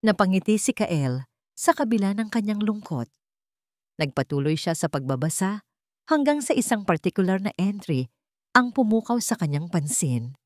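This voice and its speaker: A woman reading a scripted, dramatic love story in a measured, solemn storytelling manner. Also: mid-range voice, very animated, no room sound, clean studio sound. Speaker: story narrator.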